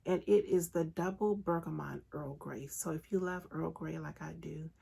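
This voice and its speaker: Raspy, congested woman podcast host. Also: low-pitched, moderate pace, warm tone. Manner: chatty, enthusiastic, frequent ums. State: raspy, congested voice after illness. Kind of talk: casual solo podcast chat.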